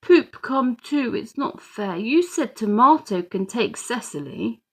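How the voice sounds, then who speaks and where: A woman acts out a little boy of about three from a children's storybook. He is eager and pleading, with babyish, simple phrasing and an unhurried pace. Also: on the high side, expressive, a bit bright, slightly rough, voice pushed up, exaggerated voice.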